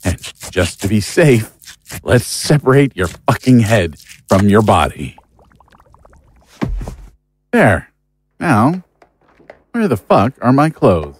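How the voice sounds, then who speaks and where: A man's voice: fairly low pitch, very animated, slow pace, pauses often, a little hiss or hum. A man acting in an audio drama as an exhausted, angry, foul-mouthed man who is fed up with monsters. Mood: furious. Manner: weary, exasperated, cursing.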